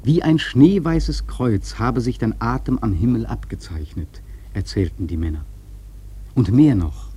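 Casting: reflective sea-novel storyteller for radio drama, mellow and husky, earnest, measured rhetoric. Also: male voice, mid-range voice. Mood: rhetorical, stirred. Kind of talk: literary narration in a radio play.

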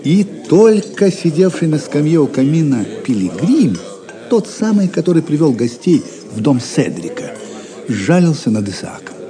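A man narrating a Russian audio drama in an expressive storytelling manner. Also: fairly high pitch, moderate pace, over music.

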